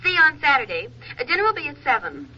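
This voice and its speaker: An actress playing a brisk, teasing friend and dinner hostess on the telephone in a 1940s radio comedy. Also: female voice, sits high, very animated. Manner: breezy, teasing. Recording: dull, noisy old radio, telephone scene.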